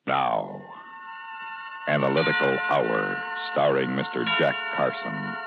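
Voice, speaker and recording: Announcer: very deep, slow, theatrical man. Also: creaky. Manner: booming, drawn-out, theatrical. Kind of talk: dramatic show announcement. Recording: dull lo-fi old radio broadcast with music.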